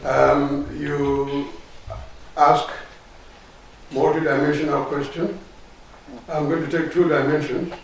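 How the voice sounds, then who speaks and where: Gravelly man, highish pitch, echoing. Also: little pitch movement, slow, long pauses. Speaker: workshop official answering questions. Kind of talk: extempore formal answer. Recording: echoey room, distant mic, faint noise.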